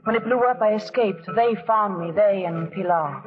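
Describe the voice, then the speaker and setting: An actress playing a gentle yet spirited young refugee woman with simple, artless phrasing in vintage radio drama style. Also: mid-range voice, moderate pace, close to an everyday voice. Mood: soft, candid. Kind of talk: 1940s radio acting. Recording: narrowband vintage radio recording with music.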